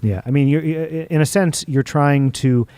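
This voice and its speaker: A man's voice: fairly high pitch, moderate pace, warm tone, clear, resonant tone, dry recording. A man hosting an onstage interview, asking probing questions and often restarting his words. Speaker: interview host. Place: theater stage.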